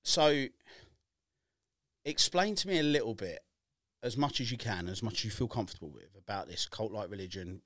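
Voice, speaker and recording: A man hosts a relaxed podcast interview, teasing his guest and winding through long, meandering questions. His voice sits on the lower side but swings up and down a lot. The sound is dry and close, with no room echo. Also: moderate pace, long pauses. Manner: jokey, chatty, rambling questions with fillers. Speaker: podcast host. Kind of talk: casual interview chat. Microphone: video podcast setup with camera.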